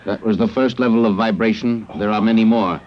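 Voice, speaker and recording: A coldly logical alien captor, played by a man in vintage radio drama style. The voice is on the low side, with formal, stilted phrasing free of feeling, heard through a dulled old broadcast recording. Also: steady tone, fairly quick, highs cut off, natural voice. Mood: clinical, insistent. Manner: stilted, formal, emotionless phrasing without contractions. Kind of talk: 1950s radio drama acting.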